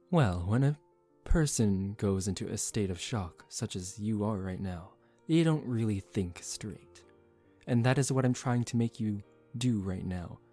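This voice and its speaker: A man voicing a suave, condescending young male vampire in a soft-spoken ASMR roleplay, calm and teasing. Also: on the low side. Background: relaxing background music.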